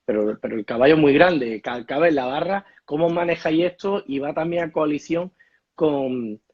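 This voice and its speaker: A man's voice: fairly high pitch, normal speed, breathy edge. A man hosting a casual interview, asking long, rambling questions full of quoted asides. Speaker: host bartender interviewer. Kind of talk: casual interview over video call. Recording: muffled lo-fi call audio.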